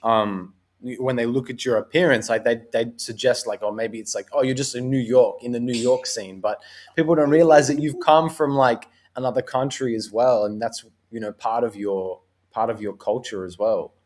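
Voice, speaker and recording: A man's voice: medium pitch, steady tone, moderate pace, darkish tone. A man hosting a podcast, talking in a laid-back, agreeable and thoughtful way in casual conversation. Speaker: podcast host.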